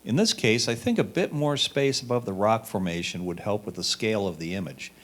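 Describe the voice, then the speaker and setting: A man's voice: on the low side, animated, a little fast, a bit bright. A man reading a judge's written critiques aloud as a competition reader.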